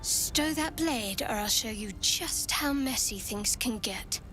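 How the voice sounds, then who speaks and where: An actress voicing a pragmatic, sardonic, coolly defiant companion in a video game, with a dry, clipped delivery over music. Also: female voice, on the high side, animated, slow. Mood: threatening. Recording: loud music under the voice.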